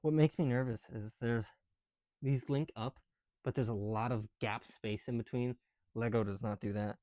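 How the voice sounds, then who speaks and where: Mellow, soft-spoken man, close and dry. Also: mid-range voice, fairly even intonation, normal speed, dry recording. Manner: softly muttering, drops into whispered counting; casual, mildly profane. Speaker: ASMR video creator. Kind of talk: ASMR, casual chat while building with bricks.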